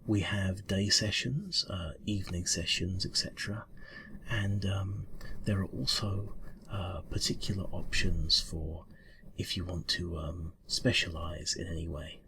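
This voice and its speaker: Casting: male actor as a polite, flustered bureau-cat interviewer for ASMR roleplay. Deep yet bright-edged voice, halting officious mumble. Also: fairly even intonation, vocal fry, husky, heavily acted. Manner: hesitant, mumbling, halting, formal.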